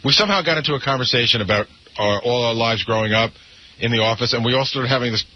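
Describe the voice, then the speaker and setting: A man's voice: mid-range voice, fast, muffled recording, slight background noise. A man on a radio show's staff, talking casually and insistently, repeating himself. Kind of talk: casual unscripted talk.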